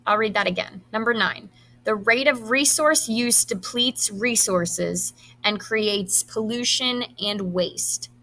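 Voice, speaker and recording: A bright, crisp-edged voice from a woman who teaches high school. She reads questions and answer options aloud in an instructional way, setting out each item deliberately. Her pitch sits in an average range for a woman. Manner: instructional, enunciates quiz items deliberately. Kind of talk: reading quiz questions aloud to students, with brief instructions.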